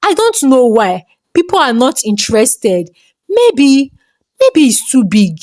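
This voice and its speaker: A woman's voice: sits high, sing-song, slow pace, a little mellow, no room sound, clean studio sound, full character voice. A woman voicing an offhand, dismissive female shopkeeper in a folktale.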